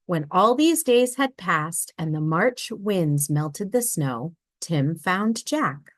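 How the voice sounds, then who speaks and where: Slow, expressive woman reading a storybook. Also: lowish voice, no echo, clean studio sound. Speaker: read-aloud narrator. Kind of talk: children's picture book read aloud.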